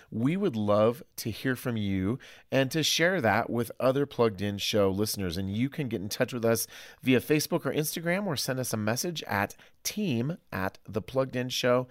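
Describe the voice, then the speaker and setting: A man's voice: medium pitch, dry recording, studio quality. A man hosting a podcast in a conversational style. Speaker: podcast host.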